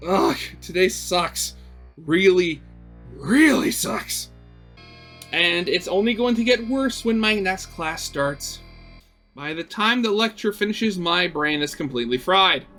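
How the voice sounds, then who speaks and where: A man with a fairly high, bright voice reads a visual novel aloud. His delivery is dramatic and expressive, slipping into conversational asides, with music playing faintly behind him. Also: unhurried. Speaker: video game let's player reading a visual novel aloud.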